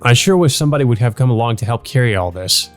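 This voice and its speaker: A man's voice: mid-range voice, expressive, fast talker, soft background music. A man reading a visual novel aloud as a let's play host, casually and unpolished, dropping in his own asides.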